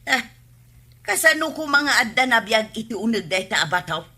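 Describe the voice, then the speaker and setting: An actress with an Ilocano accent playing a lively, talkative village woman in a comedy drama. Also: a little high, moderate pace, heavily acted. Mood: puzzled.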